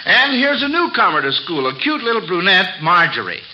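Male quiz host, vintage radio: high-pitched, very bright, avuncular and folksy. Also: big pitch swings, fairly quick, slight background noise. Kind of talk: old-time radio quiz hosting. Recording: dull vintage radio broadcast.

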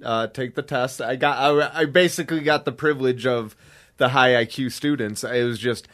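A man's voice: mid-range voice, fairly bright tone. Laid-back young man telling stories. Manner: laid-back, laughs often, quotes people in quick asides. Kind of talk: casual podcast chat.

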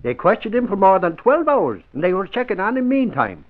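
An actor playing an argumentative, skeptical sidekick investigator, insistent and challenging, in old radio drama style. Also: male voice, sits high, sing-song, fairly quick. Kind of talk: 1940s radio acting. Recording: lo-fi, faintly noisy old radio recording.

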